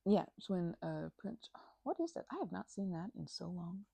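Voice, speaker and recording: A woman's voice: on the low side, lively intonation, moderate pace, vocal fry, dark tone, breathy edge, no room sound. A woman doing a casual ASMR roleplay as a chatty, scatterbrained best friend, rambling playfully from one tangent to the next.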